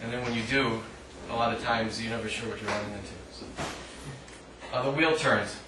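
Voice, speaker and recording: A man giving a live poetry reading in a measured, declamatory way with dry humour, adding short spoken asides. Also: mid-range voice, fairly even intonation. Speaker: poet reading own work. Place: indoor literary cafe reading. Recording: echoey live room, distant mic, faint noise.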